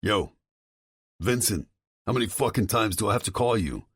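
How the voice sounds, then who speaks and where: A man's voice: lowish voice, lively intonation, normal speed, husky, no echo, voice pushed up. A man voicing an agitated brother who warns urgently over the phone. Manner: urgent, swearing, insistent.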